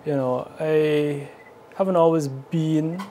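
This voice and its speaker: Mellow Guyanese man over clear background noise. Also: fairly high pitch, fairly even intonation, slow. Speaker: air traffic controller being interviewed. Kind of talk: informal interview answers. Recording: clearly noisy location interview.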